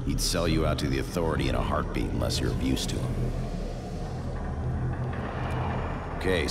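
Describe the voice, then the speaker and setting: An actor playing a gruff, terse, commanding captain of a wasteland resistance base in a video game. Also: male voice, very deep voice, full character voice. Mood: stern warning. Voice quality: gravelly. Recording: loud music under the voice.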